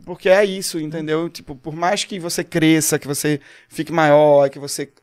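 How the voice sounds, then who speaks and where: Young pernambuco guy, singer on a podcast, kinda high voice, tells stories with lots of energy. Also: normal speed, smooth. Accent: Pernambuco Portuguese. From Pernambuco, Brazil. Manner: animated, storytelling, quotes people. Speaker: singer guest. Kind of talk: casual podcast chat.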